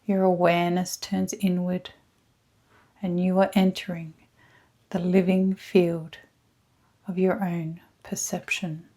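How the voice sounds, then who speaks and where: Very slow, soft woman guiding meditation. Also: lowish voice, level tone. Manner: hushed, soothing, deliberate phrasing with frequent pauses. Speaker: guided meditation leader, energy healer. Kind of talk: slow scripted guided meditation.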